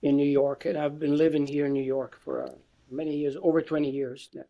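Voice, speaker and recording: Higher-pitched Italian-accented man, interview guest. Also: little pitch movement, fairly quick, creaky, warm tone, rough recording. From Italy. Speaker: interview guest, university lecturer. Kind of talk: conversational interview answers. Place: indoor room with bookshelves, video call.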